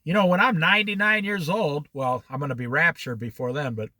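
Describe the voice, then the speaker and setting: A man's voice: a little high, brisk, pushed and bright, dry recording. A man leading an informal Bible study, throwing out challenging rhetorical questions and jokey asides.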